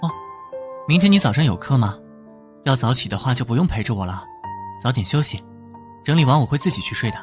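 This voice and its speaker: Animated drama: male actor as young policeman, protective older-brother type, flustered, very lively mid pitch, music underneath. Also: fast, pauses often, natural voice. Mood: casual, curious. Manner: expressive, exclaiming, gently teasing.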